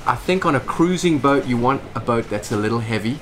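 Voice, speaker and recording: Animated man explaining aboard a boat. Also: medium pitch, fairly quick, slight background noise. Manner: animated, gestures with hands while explaining. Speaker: boat owner. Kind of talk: casual interview. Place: inside a boat salon.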